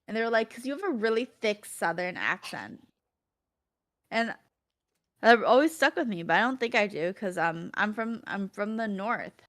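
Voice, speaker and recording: A woman's voice: medium pitch, lively intonation, normal speed, a bit bright. Young woman streaming and yakking, laughs a lot, rambly, just chatting with friends. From Connecticut. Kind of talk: casual chat while gaming.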